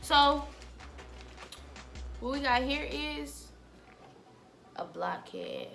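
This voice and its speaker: A woman's voice: high-pitched, wildly swooping pitch, extremely slow, creaky, a bit bright. Casual young American woman over music. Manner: loose, slangy, rambling. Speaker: vlogger giving a wig-making tutorial. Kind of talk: casual chat to camera.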